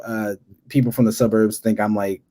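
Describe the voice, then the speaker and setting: A man's voice: fairly low pitch, normal speed. A man from Philadelphia, a panel guest in a casual video call chat, telling stories with street slang. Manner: lively storytelling with slang and laughs. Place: home room on a video call.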